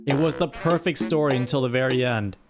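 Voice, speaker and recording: A man's voice: mid-range voice, fairly quick, soft background music. A man hosting a stream with casual comedic commentary, exasperated and playfully scolding as he reads out what viewers write. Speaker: streamer host.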